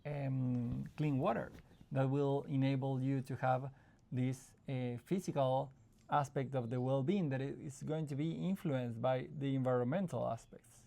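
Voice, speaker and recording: A man from Argentina speaking as the guest in a casual interview. His voice sits in an ordinary middle pitch with a gravelly, creaky edge. He talks thoughtfully, pausing and restarting as he searches for the right words. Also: steady tone, warm tone. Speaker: psychologist guest.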